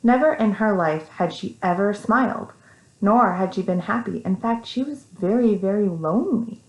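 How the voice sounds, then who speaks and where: A woman with an American accent reading a story to young children, animated, with simple casual asides. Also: mid-range voice, moderate pace, lo-fi sound. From Texas, United States. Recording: echoey room.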